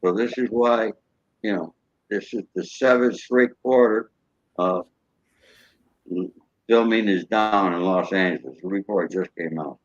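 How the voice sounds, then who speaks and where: A man's voice: fairly low pitch, measured pace, long pauses, dry recording. A man guest in a casual interview, matter-of-fact and peppering his talk with "you know". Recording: dry, dull lo-fi audio.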